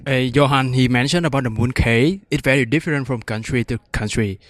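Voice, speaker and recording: A man from Vietnam, a student, speaking haltingly in a casual interview and searching for his words. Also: mid-range voice, fairly even intonation, dry recording.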